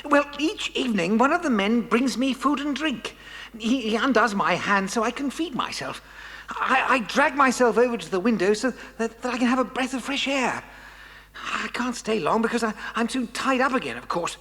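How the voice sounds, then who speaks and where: An actor playing an agitated, earnest kidnapped scientist who speaks haltingly in a children's audio drama. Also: mid-range voice, expressive, sharp tone. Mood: weary, explaining. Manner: halting, stammering. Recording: long reverberant hall sound.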